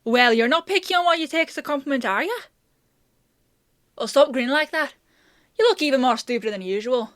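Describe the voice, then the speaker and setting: A woman's voice: high voice, lively intonation, brisk, pauses often, fairly bright tone, no echo, clean studio sound. A woman playing a bossy, teasing female apothecary in a fantasy audio roleplay, with a chatty, sardonic, playfully scolding manner. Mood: amused teasing.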